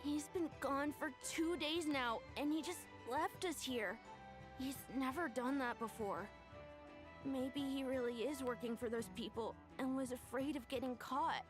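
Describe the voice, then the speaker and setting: A young girl's high, hesitant voice, halting as she asks questions. Game music sits behind her. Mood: worried. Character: young girl, worried and earnest.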